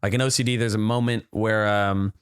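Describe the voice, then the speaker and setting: Relaxed rambling young man, low voice. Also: a little fast, smooth, dry recording, studio quality. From United States. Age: 20s. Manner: relaxed, rambling, many fillers and restarts. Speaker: podcast guest, filmmaker. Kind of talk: casual chat.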